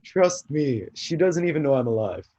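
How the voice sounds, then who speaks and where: A man's voice: fairly high pitch, sing-song, normal speed, mellow, dull audio. A man playing a clumsy young god of destruction, earnest and frustrated, in a staged play reading. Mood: wistful.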